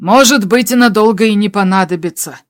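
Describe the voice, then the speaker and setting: An audiobook figure of a male spaceship crewmate, acted by a woman, talking over the radio at a middling pitch. He is concerned but steady, giving earnest and urgent advice. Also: brisk, lightly acted. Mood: urgent advising. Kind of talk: audiobook character dialogue.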